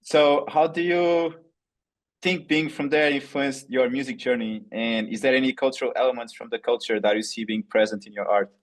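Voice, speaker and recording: A man's voice: mid-range voice, fairly even intonation, normal speed. A man with a Portuguese accent interviewing a fellow musician in casual, hesitant, repetitive phrasing full of "like" and "yeah".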